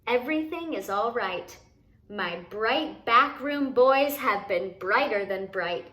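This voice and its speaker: A woman's voice: on the high side, lively intonation, slow pace, pushed and bright, full character voice. A woman voicing an upbeat, commanding male chief in a rhyming storybook, reassuring and boastful as she gives instructions. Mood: proud, commanding. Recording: noticeable background noise.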